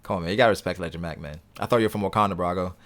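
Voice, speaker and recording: A young man hosting a casual livestream, reading viewer comments aloud and answering them in a relaxed, rambling way. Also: deep voice, rapid-fire. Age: young adult. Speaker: livestream host reading chat.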